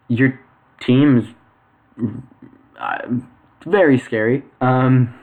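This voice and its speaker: A young man with a fairly low voice talks through his weekly league power rankings in a loose, offhand way. He stumbles often, filling gaps with fillers and correcting himself mid-sentence. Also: darkish tone. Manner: halting, many fillers and self-corrections. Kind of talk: casual commentary.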